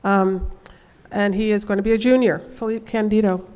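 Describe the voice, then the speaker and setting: A woman's voice: mid-range voice, steady tone, moderate pace, very noisy. A woman casually introducing the next speaker at a public meeting, with "um" fillers. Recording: long reverb in meeting hall.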